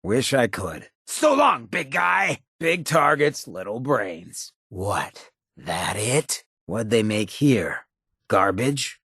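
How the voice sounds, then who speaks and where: Swaggering outlaw drifter, video game companion, heavily rasping gravel voice, animated intonation, sardonic slangy drawl, irreverent. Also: male voice, medium pitch, slow, no room sound, studio quality, full character voice. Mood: playful, sardonic. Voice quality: raspy, gravelly. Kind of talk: scripted game dialogue, wry banter.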